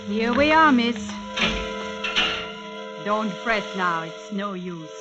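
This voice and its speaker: A performer playing a calm, kindly female jail matron in a 1940s radio drama. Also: mid-range voice, slow. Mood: soothing. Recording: muffled old radio recording, loud music behind.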